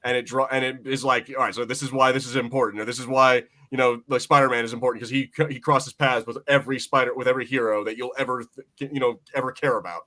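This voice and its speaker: A man chatting casually as a podcast host, with an excitable, rambling and sweary way of talking. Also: medium pitch, fast, few pauses. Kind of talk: casual chat.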